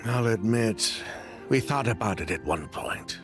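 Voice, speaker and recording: An actor playing an evasive, self-justifying criminal in dramatic video game dialogue, with wry, sighing delivery. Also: male voice, on the low side, expressive, a little slow, music in the background. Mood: somber, reflective. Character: evasive, defensive criminal man confessing past wrongdoing.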